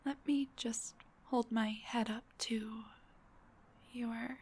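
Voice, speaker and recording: A woman playing a shy, sweet, flirty dullahan on a date, soft, breathy and hesitant, in an intimate audio roleplay. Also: on the high side, steady tone, slow pace. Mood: tender, playful.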